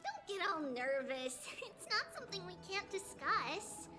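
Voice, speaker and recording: A woman's voice: extremely high pitch, very animated, a little slow, over music, exaggerated voice. A woman voicing a small, cheerful childlike girl demigoddess in a video game, with a playful, lilting delivery.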